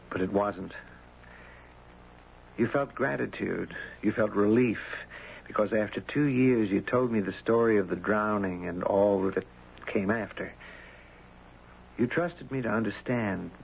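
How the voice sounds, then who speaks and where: An actor playing a gentle, earnest man who narrates and talks tenderly in a 1970s radio drama. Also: lowish voice, vocal fry. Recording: dull, noisy 1970s radio broadcast.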